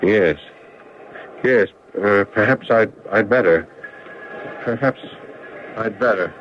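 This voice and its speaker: Low-voiced, stammering, lost businessman. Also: takes it slowly. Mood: agitated confusion. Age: middle-aged. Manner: hesitant, stammering, repeating himself. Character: confused, anxious amnesiac businessman, stammering and lost. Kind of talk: radio drama acting. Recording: dull, noisy old radio broadcast.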